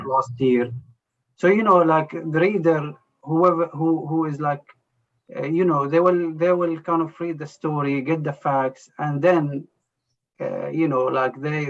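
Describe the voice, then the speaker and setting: Halting man, gravelly. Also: a little high. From Jerusalem. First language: Arabic. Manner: hesitant, halting, full of "you know" and restarts. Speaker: journalist guest. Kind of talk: conversational interview answers. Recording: dull lo-fi sound.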